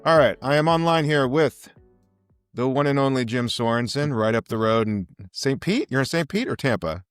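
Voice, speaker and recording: A man's voice: medium pitch, animated, moderate pace, clear, resonant tone, no echo, clean studio sound, faint music behind. A man with an enthusiastic, emphatic manner, hosting a podcast in a conversational style. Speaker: podcast host and interviewer.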